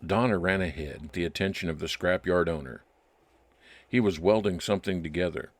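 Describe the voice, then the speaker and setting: A man playing a wry, nostalgic private detective, narrating his case deliberately in old time radio style. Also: sits low, dry recording. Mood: reflective. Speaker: narrator and lead actor.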